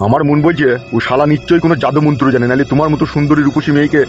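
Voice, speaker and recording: A man's voice: a little high, fairly even intonation, very fast, natural voice. A man playing a pushy, lecherous landlord in a comic cartoon, taunting and crude as he fires off questions. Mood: indignant. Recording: dull lo-fi track with music.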